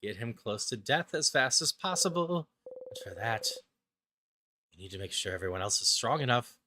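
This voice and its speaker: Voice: mid-range voice, moderate pace, pitched below the performer's own voice, natural voice. An intense, despairing game hero acted out by a voice-over performer spirals through a bitter inner monologue. The delivery halts and repeats obsessively, with noise behind it. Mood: grim, resigned. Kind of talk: dramatic game script voice-over.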